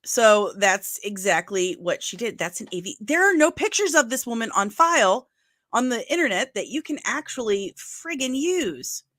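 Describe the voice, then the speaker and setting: Casual stream host, middle-aged woman, nasal, talks with emphasis and quick asides, close dry mic. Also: a little high, very animated, fairly bright tone, no room sound. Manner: chatty, emphatic, with asides and laughs. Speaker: pop culture news host. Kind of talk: casual livestream chat.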